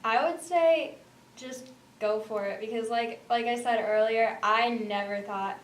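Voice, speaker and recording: A teenage girl gives casual interview answers as a student actor. Her voice sits a little high. The sound is echoey and distant from the microphone, with faint noise behind it. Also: measured pace.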